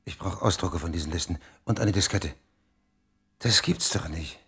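A skeptical journalist. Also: male voice, deep voice, normal speed, vocal fry, sharp tone, husky, heavily acted. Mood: questioning. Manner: questioning, skeptical. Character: skeptical male investigative journalist. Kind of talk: scripted TV drama acting.

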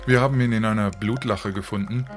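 An actor playing a sober witness describing a crime scene in film dialogue. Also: male voice, lowish voice, fairly even intonation, brisk, close to an everyday voice. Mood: matter-of-fact. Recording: film clip under loud guitar music.

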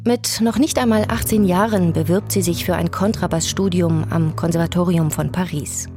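A woman with a fairly low voice narrates a scripted radio documentary. Her delivery is calm and measured. Faint music plays underneath.

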